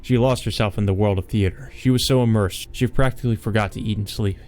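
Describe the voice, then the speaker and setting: A man's voice: on the low side, steady tone, fast, darkish tone. A man hosting a let's play, reading a visual novel aloud and adding casual asides. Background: game music.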